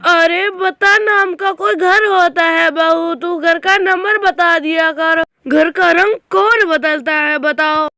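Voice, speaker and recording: A woman plays a fussy cartoon bird mother-in-law who nags and scolds. Her voice is very high and piercing, and she draws her words out slowly in exasperation. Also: pushed and bright, no room sound, studio quality, heavily acted. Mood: scolding. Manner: complaining, nagging. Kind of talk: exaggerated cartoon dialogue.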